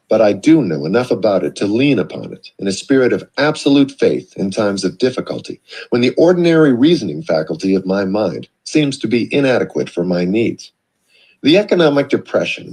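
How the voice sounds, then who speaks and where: Man, husky voice, lively rising and falling pitch, measured earnest audiobook reading. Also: poor audio. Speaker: audiobook narrator. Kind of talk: scripted audiobook narration.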